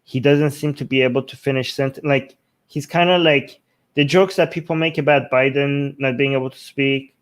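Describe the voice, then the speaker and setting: A man's voice: medium pitch, steady tone, moderate pace. A man from Iran, a native Persian speaker, gives casual political commentary as a host, rambling and often rephrasing himself. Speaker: talk show host.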